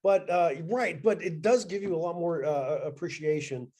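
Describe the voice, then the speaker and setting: A man hosts an interview with a fellow writer, his voice warm and mellow and a touch high. He talks in a halting way, restarting his phrases often. He sounds like he is on a video call. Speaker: interview host. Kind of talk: conversational interview.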